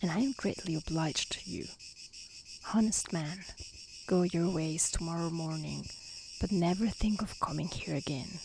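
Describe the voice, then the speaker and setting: A woman voicing a courteous, formal male fairy-tale Beast in a soft, breathy storybook reading. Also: fairly low pitch, a little slow. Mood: polite, commanding. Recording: faint background noise with chirping insects.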